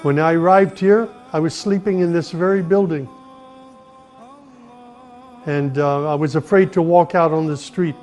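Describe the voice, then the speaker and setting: A middle-aged man from the United States, earnestly telling his own life story at an unhurried pace, with pauses and restarts. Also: a little high, steady tone. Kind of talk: personal testimony, reflective storytelling. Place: Saudi Arabia. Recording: soft music behind the voice.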